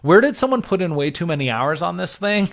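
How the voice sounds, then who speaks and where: A man's voice: sits high, very animated, brisk, vocal fry, fairly bright tone. A man speaking as an interview guest, explaining work ideas conversationally and often checking in with "right?". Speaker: interview guest, product and growth leader.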